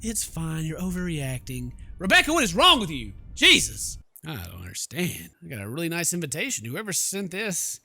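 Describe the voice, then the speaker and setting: A man's voice: a little high, wildly swooping pitch, moderate pace, few pauses, sharp tone. A man doing let's play commentary, reading game text aloud and tossing in joking, theatrical asides. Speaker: gaming YouTuber.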